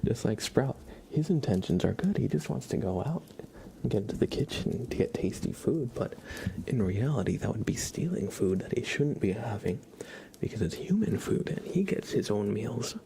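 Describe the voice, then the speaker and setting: Casting: male livestream ASMR host, lowish creaky voice, chatty and gentle in volume, sometimes whispered, slightly noisy recording. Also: expressive, moderate pace. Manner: chatty, rambling, soft-spoken with whispered stretches. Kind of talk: casual live ASMR chat with tarot card readings. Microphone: headset. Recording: clear background noise.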